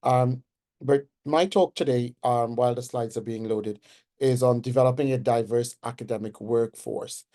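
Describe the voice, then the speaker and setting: Trinidadian man lecturing, mellow tone. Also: medium pitch, steady tone, moderate pace. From Trinidad and Tobago. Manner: warm, personal, conversational lecturing with fillers. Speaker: guest speaker, nursing professor. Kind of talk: webinar lecture.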